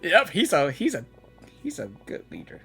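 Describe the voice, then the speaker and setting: Excited girl reacting to a game, bright, husky voice, game music faint behind. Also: on the low side, moderate pace. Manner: excitable, gushing, talks to herself. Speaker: gaming reaction streamer. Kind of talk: casual reaction commentary. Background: game audio with music.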